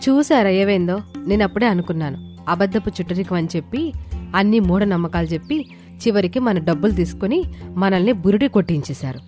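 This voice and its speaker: A woman's voice: medium pitch, lively intonation, brisk, darkish tone, natural voice. A woman voicing a kindly but wary village housewife in a cartoon moral story, homely and earnest. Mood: suspicious. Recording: music under the voice.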